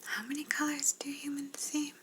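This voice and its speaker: A woman playing a polite, curious alien examiner in an ASMR roleplay, speaking in a soft breathy whisper with a soothing, clinical manner. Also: high voice, completely flat delivery, noisy background, voice pushed up, close to an everyday voice. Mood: clinical, gentle. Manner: slow, soothing, clinical, courteous.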